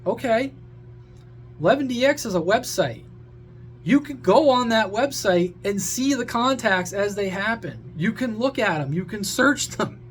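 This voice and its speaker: Male vlogger, high voice, blunt rambling rant with casual swearing, noticeable hum behind. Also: expressive, unhurried. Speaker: amateur radio vlogger. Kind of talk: casual opinionated rant to viewers.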